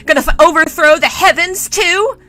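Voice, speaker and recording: Woman acting a loud, spoiled, raging young nobleman. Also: very high voice, moderate pace, soft background music, voice pushed up. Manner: shouting, sneering, foul-mouthed.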